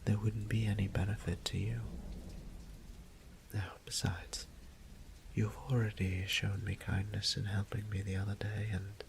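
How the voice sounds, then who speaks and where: A man playing a gentle, apologetic old vampire priest in an intimate audio drama, speaking in formal, hesitant phrases with a breathy half-whisper. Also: lowish voice, flat delivery, unhurried, background noise. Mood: hesitant, reluctant. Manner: hesitant, formal old-fashioned wording, tender.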